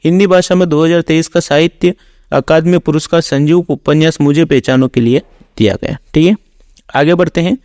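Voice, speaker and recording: A man with a fairly high-pitched voice teaches for a competitive exam. He reads out questions and factual explanations in an orderly, instructional routine. The sound is very dry, with no room echo. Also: brisk, a little mellow. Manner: instructional, reading out facts in a set routine. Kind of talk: reading quiz questions with explanations.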